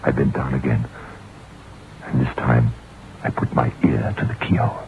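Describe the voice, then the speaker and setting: An actor playing a troubled, curious writer who recounts his dread in a deliberate, suspenseful old-time radio horror monologue. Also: a bass voice, level tone, creaky. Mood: mounting fear. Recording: muffled, noisy vintage radio recording.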